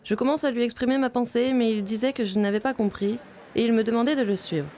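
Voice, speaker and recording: A young woman from Vietnam, a native Vietnamese speaker, calmly narrating a personal video diary as a visiting film student. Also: on the high side, fast talker, a little hiss or hum. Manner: calm, reflective, first-person storytelling.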